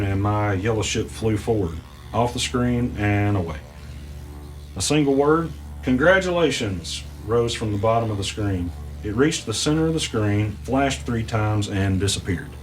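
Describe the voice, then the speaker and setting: A man's voice: fairly low pitch, animated, normal speed, soft background music, lightly acted, rough recording. A man playing a weary young gamer in an audio drama, telling and living a mystery in a plain-spoken, understated way. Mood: measured, suspenseful. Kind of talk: audio drama narration and dialogue.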